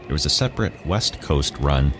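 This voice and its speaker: A man's voice: a bass voice, expressive, normal speed, over music. A man hosting a podcast, giving scripted introductions to old radio shows. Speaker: podcast host. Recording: clear background noise under the voice.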